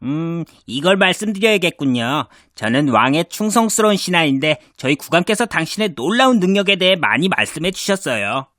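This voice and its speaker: A high, bright-toned cartoon cat, smooth-talking and cunning, dry close sound. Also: male voice, fairly quick, hardly any pauses, dry recording. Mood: courteous. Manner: polite and flattering. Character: clever scheming male cat in boots. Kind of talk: cartoon voice acting.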